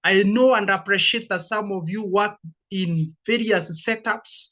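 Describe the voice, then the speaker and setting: A man, a university counselor giving a formal presentation and laying out his points in a measured, numbered order. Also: sits high, sharp tone.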